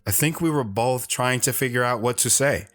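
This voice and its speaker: A man's voice: medium pitch, moderate pace, fairly bright tone, soft background music, lightly acted. A man playing a shy, hesitant man recalling his past. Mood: reflective, shy. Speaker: narrator and guest. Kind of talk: audio drama narration.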